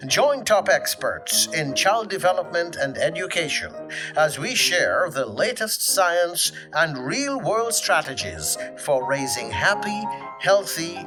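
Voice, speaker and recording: A program announcer reading a scripted show intro at a slow, deliberate pace. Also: mid-range voice, low-quality recording. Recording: voice over music bed.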